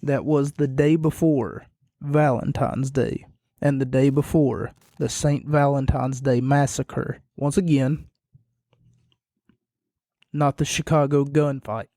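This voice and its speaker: A man hosting a solo podcast, rambling and self-deprecating, his voice going hoarse and quiet. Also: mid-range voice, steady tone, slow, dry recording, clean studio sound. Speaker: podcast host. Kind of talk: casual solo podcast recap.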